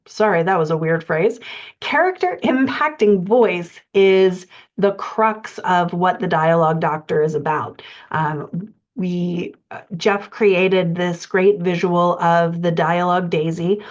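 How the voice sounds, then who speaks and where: A woman with a somewhat low voice speaks as a coach presenting a lesson. She explains things in an instructional way, often putting rhetorical questions to the listener. The recording is a little dull and lo-fi. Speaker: writing coach and podcast presenter. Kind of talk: instructional talk on fiction writing. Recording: dull, lo-fi audio.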